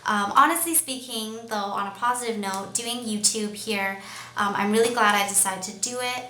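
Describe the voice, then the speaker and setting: A young woman with a Canadian accent chats unscripted to her viewers in a bright, clear-edged voice. She rambles, pausing and searching for words as she goes. The recording has noticeable room echo and a faint layer of noise. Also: medium pitch. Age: young adult. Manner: rambling, hesitant, with many pauses. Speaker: vlogger. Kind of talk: casual, unscripted talk to camera.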